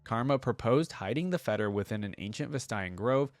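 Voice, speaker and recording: Male reaction creator, mid-pitched voice, casual and conversational, thinking out loud, very dry recording. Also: animated, fairly quick, no echo. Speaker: video creator reacting to game lore and skins. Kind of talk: casual reaction commentary with some reading aloud.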